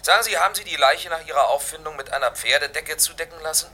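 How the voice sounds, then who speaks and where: An actor playing an exacting, brisk male forensic chemist in a German historical crime radio drama, precise and assertive. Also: a little high, pushed and bright. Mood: brisk, demanding.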